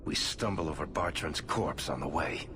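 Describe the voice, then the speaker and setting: An easygoing roguish dwarf from a fantasy game. The voice is low, with dry, joking asides over clearly audible background noise. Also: male voice, moderate pace. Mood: dry humor. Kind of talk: video game voice acting. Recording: noisy game ambience.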